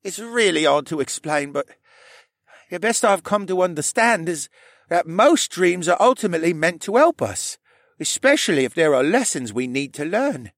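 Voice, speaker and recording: A man voicing a shy, troubled young boy in an audiobook, earnest and halting in his speech. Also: fairly high pitch, very animated, a bit bright, no room sound, studio quality, pitched above the performer's own voice. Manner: earnest, halting, stammering over words. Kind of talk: audiobook character voice.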